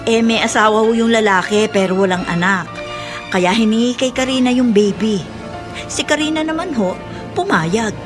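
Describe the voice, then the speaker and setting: A woman's voice: fairly high pitch, moderate pace, over music, natural voice. A Filipino woman playing a chatty, respectful teacher in a radio drama, telling things in a conversational, deferential way. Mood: confiding.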